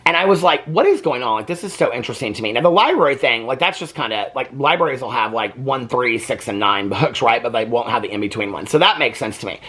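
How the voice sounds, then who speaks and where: A man's voice: medium pitch, expressive, quick pace, few pauses, fairly bright tone, slightly rough. A man chatting casually and unscripted to viewers as a book vlogger, rambling along with emphatic retellings and quoted asides. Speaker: book vlogger and book club host.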